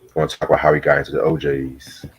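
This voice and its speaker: A man's voice: extremely low pitch, flat delivery, very fast, slightly rough. A man hosting a music review as a casual chat, speaking informally with plenty of slang and swearing. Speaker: host of a music review show.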